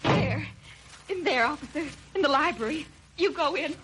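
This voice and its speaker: An actress playing a frightened, distraught housekeeper in an old radio melodrama, speaking with panicked urgency. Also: female voice, sits high, expressive, moderate pace, fairly bright tone. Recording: faintly noisy vintage radio recording.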